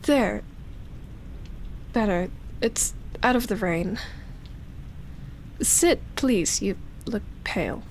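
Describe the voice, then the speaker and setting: A woman voicing an ancient, lonely vampire in love, speaking softly and intimately with sighs, whispered words and lingering pauses, as in roleplay ASMR. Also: medium pitch, animated, a little hiss or hum. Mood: earnest, pleading. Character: lonely, obsessive female vampire, ancient, tender and teasing.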